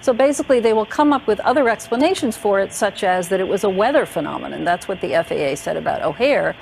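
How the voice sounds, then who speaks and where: A woman's voice: mid-range voice, expressive, fast talker, a little mellow, a little hiss or hum, poor audio. An American woman explaining an account in a conversational interview. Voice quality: creaky.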